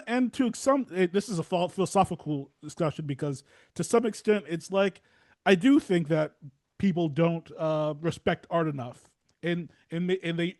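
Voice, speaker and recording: A man's voice: sits high, a little mellow, no room sound. A man hosting a casual interview, rambling and often restarting his phrases. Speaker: podcast host and theater reviewer.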